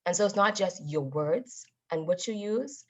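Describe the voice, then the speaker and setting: A woman giving a motivational public talk as a guest speaker, with a deliberate, emphatic, instructive delivery. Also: medium pitch, expressive. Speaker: guest speaker, Toastmasters member. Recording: dull, lo-fi audio.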